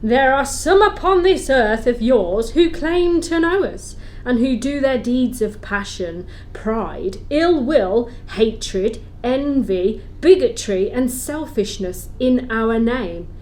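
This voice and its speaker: A woman's voice: fairly high pitch, lively intonation, slow pace, hardly any pauses, a little mellow. A woman playing a stern, grand Christmas spirit in an audio play, speaking solemnly and with emphasis. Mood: indignant.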